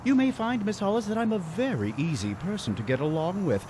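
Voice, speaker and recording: An actor playing a pompous space military commander, sarcastic and mock-polite with silky menace, in a science fiction radio drama. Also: male voice, high-pitched, lively intonation, brisk, background noise.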